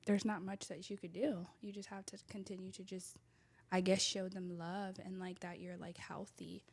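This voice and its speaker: A soft-spoken young woman chatting casually on a podcast, hesitant, full of fillers and trailing off quietly. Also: mid-range voice, level tone, normal speed, husky. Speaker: podcast co-host. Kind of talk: casual chat. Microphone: close studio mic.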